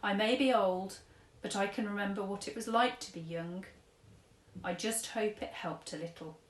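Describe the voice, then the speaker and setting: A woman voicing a gentle old tramp. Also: mid-range voice, lightly acted. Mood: kind. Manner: slow, gentle, old-fashioned speech. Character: kindly old male tramp, gentle and wise. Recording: echoey room.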